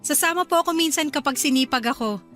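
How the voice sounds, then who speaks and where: High-pitched sweet young Filipina wife, acted by a woman. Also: fast talker, a bit bright, faint music behind. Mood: polite, deferential. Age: young adult. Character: young newlywed wife, sweet, polite, eager to please. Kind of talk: Filipino radio drama acting.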